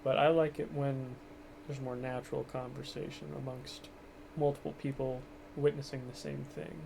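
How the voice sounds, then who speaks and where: A gravelly-voiced man talks in a flat, rambling, self-deprecating way while he streams a game from home, keeping the volume down for the house. His cheap microphone picks up plenty of hiss, with engine sounds from the game running under his voice. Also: medium pitch, normal speed. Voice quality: monotone. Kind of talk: casual gameplay commentary. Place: home, kept quiet for others in the house.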